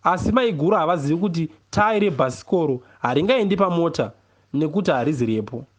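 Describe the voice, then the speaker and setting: A man with a Zimbabwean accent reads a first-person literary story expressively, in a measured way with deliberate pauses. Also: fairly high pitch, expressive. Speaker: reader of a novel, poet.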